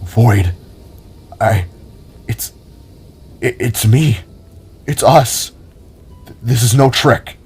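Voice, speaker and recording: Man as a halting, gentle robot elder brother. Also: medium pitch, husky, heavily acted. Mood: soothing, shaken. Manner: halting, stammering, soothing. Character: gentle, shaken older robotic alien brother.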